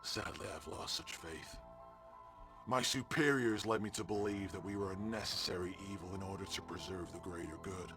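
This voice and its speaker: An actor playing a world-weary middle-aged man who gives a grave, portentous dramatic confession in a deliberate way. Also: deep voice, bright, forceful tone, faint music behind. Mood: grave, regretful. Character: guilt-ridden world-weary man confessing a dark secret. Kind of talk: dramatic scripted monologue.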